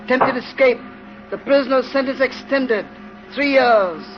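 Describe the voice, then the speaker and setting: An actor playing a stern, cold, pompous judge with formal, officious pronouncements in an old radio drama. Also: male voice, very high-pitched, unhurried, over music. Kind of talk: 1930s radio drama acting. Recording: dull old radio recording, faint noise.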